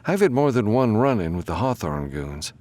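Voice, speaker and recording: A man's voice: fairly low pitch, very animated, moderate pace, a little mellow, pitched above the performer's own voice. A man voicing a brash, cocky woman mercenary in an audiobook, breezy, teasing and a little impatient.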